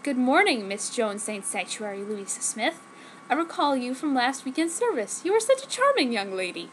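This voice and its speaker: A woman voicing a kindly middle-aged male priest in a fanfiction story, speaking gently and formally. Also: high voice, sing-song, moderate pace, slight background noise, poor audio. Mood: courteous.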